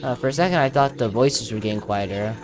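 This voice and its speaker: A man's voice: medium pitch, fast talker, noisy background. A man commentating casually on his own video game playthrough, thinking aloud as he plays.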